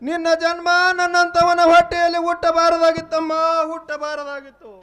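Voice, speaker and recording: Man playing a lamenting old father, high voice. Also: monotone, normal speed. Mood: bitter lament. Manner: lamenting, repeats phrase endings. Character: fearful, sorrowful poor old father. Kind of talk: melodramatic Kannada stage drama. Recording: stage recording under very loud music.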